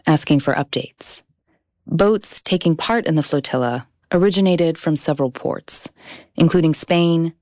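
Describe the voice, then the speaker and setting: Low-voiced woman narrating a documentary. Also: a little mellow, no room sound. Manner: measured, deliberate, slows on key phrases. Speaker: podcast host and narrator.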